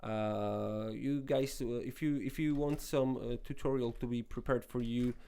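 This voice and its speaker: A man's voice: medium pitch, steady tone, unhurried, creaky, dark tone. A young man with a Polish accent, a non-native English speaker, hosting a web development tutorial in a rambling casual way with many restarts and fillers. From Poland. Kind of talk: casual screen-recorded tutorial talk.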